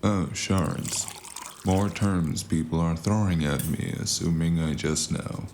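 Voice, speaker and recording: Man playing a very deep, gravelly, weary mercenary. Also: slow pace, darkish tone, a little hiss or hum. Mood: tired, confused. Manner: weary, flippant. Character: worn-out, stubborn, sardonic mercenary, a man. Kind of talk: audio drama acting.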